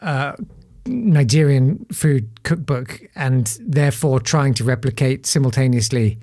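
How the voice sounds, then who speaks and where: A man's voice: mid-range voice, measured pace, a little mellow. A man hosting a casual podcast interview, easygoing and chatty, with frequent fillers. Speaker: podcast host.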